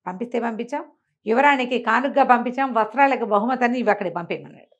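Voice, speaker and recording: A woman telling a folk tale in an animated, conversational way, acting out the characters' exclamations. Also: fairly high pitch, fast, muffled recording. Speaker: storyteller. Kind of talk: animated oral folk storytelling to a listener.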